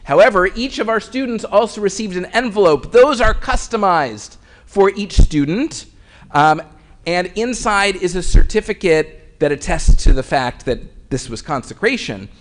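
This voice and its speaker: A man's voice: high-pitched, lively intonation, normal speed, fairly bright tone. A man of the clergy leading a synagogue service, explaining things to the congregation in a conversational way. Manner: conversational and explanatory, with occasional fillers. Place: synagogue sanctuary.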